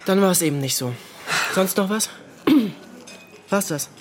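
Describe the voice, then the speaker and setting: A man's voice: high voice, a little slow, a little hiss or hum. An actor playing a sullen, evasive, provocative teenage boy in a naturalistic radio drama, curt and flippant. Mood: defensive.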